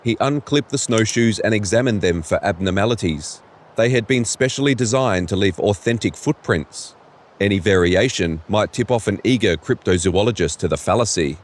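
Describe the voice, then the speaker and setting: A man's voice: lowish voice, moderate pace. A man reading scripted third-person story narration for an audio drama.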